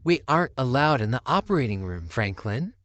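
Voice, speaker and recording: A woman voicing a steady, reassuring turtle father in a storybook, speaking matter-of-factly. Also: extremely low pitch, brisk, pitched below the performer's own voice.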